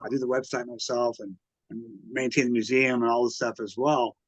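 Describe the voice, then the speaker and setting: A man's voice: medium pitch, flat delivery, fairly quick, dry recording, low-quality recording. A man talking casually as an interview guest, explaining things in a rambling, conversational way. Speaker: museum founder and curator, interview guest.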